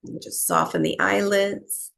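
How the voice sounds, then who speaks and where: A woman teaching breathwork, guiding a meditation in short, unhurried phrases with long pauses between them. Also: medium pitch, sing-song, gravelly, a bit bright. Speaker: breathwork and yoga nidra teacher. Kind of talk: guided meditation, slow soothing instruction.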